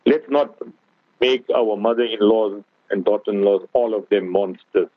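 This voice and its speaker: A man's voice: on the low side, moderate pace, no room sound. A man with a South African accent, a guest scholar giving an earnest religious talk on radio and often addressing the host. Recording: tinny phone-like radio broadcast.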